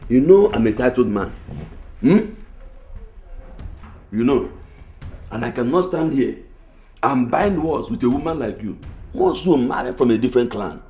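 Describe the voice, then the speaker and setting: Animated, pompous Nigerian village man, played by an actor. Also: medium pitch, a little slow, pauses often, lightly acted. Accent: Nigerian, Igbo. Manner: pompous, dismissive, interjecting. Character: blustering, evasive titled village man. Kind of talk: film drama acting.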